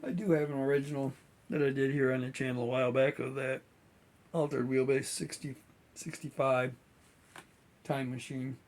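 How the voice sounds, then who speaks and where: A man, hosting his model-building channel in a relaxed, rambling way, slightly hoarse as he is losing his voice. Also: medium pitch, normal speed, pauses often. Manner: relaxed, rambling, many fillers. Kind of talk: casual chat to viewers.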